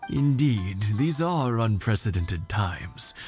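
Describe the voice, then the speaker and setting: A man's voice: on the low side, very animated, takes it slowly, darkish tone, faint music behind. A man playing a kindly elderly villager in a video game, courteous and grandfatherly in manner. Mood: gracious. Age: older.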